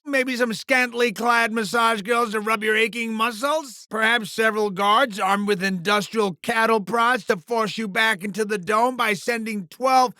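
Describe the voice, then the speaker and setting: A man's voice: very high voice, pushed and bright, no echo, clean studio sound, full character voice. A man voicing a pompous, sleazy alien media mogul in a video game, with hammy, theatrical showman delivery. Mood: angry ranting. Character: bombastic, sleazy alien showbiz mogul, male, crude and bullying.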